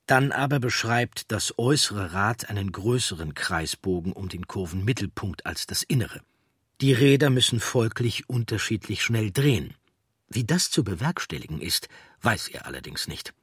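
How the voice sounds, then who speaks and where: A man with a husky voice delivering scripted documentary narration. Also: on the low side, very animated, normal speed, fairly bright tone, no room sound, clean studio sound.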